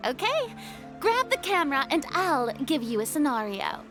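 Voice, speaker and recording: A theatrical young lady from an anime game with a high, swooping voice. She sounds haughty and exacting, slipping into flustered hesitation, with prominent music underneath. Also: big pitch swings, moderate pace. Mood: skeptical, exacting. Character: theatrical, proud young woman, a former star turned director. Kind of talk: anime video game voice acting.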